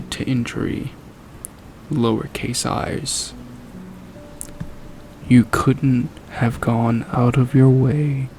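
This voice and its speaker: A man with a gravelly voice giving a dramatic reading and scornful review in a deliberate, foul-mouthed manner. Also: mid-range voice, darkish tone. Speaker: creepypasta narrator and reviewer. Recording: music playing behind the voice. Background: background music.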